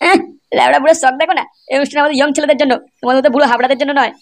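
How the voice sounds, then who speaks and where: A man's voice: very high voice, fairly even intonation, rapid-fire, gravelly, heavy background noise. A man voicing a flustered, wheedling, evasive young husband who stammers in a comedy cartoon.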